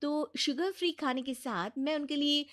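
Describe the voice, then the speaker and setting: A woman plays a young daughter-in-law from a moral-story cartoon, pitched very high. She is eager to please and oblivious, gushing and exclaiming with theatrical, boastful flair. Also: moderate pace, fairly bright tone. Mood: cheerful, eager.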